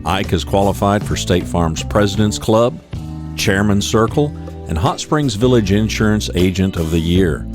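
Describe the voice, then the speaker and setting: A man's voice: fairly low pitch, normal speed, over music. A man reading scripted sponsor messages as a podcast announcer. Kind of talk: scripted ad read.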